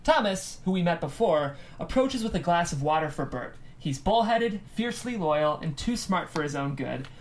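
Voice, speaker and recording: A woman's voice: lowish voice, animated, moderate pace, hardly any pauses. A woman reading stage directions as scripted narration at a table read.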